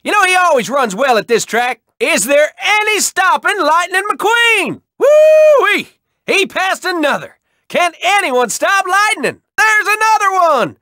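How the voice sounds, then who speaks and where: An actor playing a folksy, excitable race announcer in a video game, exclaiming and stretching words for emphasis in projected sports commentary. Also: male voice, unhurried, no room sound, clean studio sound, full character voice. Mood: excited. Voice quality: projected, high-pitched.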